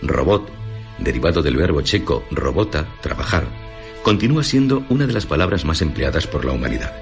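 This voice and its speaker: A man's voice: rumbling low, very animated, brisk. A man with a Castilian Spanish accent giving formal, emphatic scripted narration on the radio. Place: radio studio. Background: clear background music.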